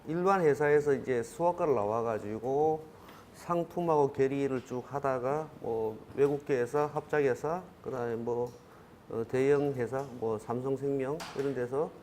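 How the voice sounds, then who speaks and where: A man's voice: mid-range voice, moderate pace, darkish tone, slight background noise. A man in his fifties with a regional Korean dialect, giving a classroom lecture in a rambling, self-correcting way with many fillers. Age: 50s.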